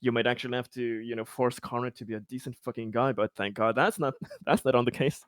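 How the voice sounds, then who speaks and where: A man chatting casually after a tabletop game, excitable, stammering and swearing freely. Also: mid-range voice, quick pace, no room sound. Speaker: tabletop roleplaying game player. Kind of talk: casual post-game chat.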